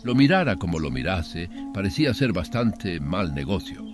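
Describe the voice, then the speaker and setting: A man narrating a scripted horror story in a measured, literary storytelling manner. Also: low-pitched, big pitch swings. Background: background music.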